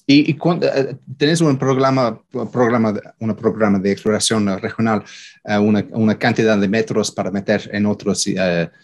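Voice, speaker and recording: A man interviewing in a second language, his first being English, halting often and searching for words. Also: fairly low pitch, dull audio. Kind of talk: casual interview.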